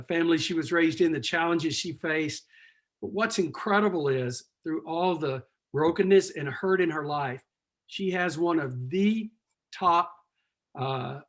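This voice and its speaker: Emphatic folksy male host, middle-aged, voice on the higher side. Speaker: host, pastor. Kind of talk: casual hosting on a video call.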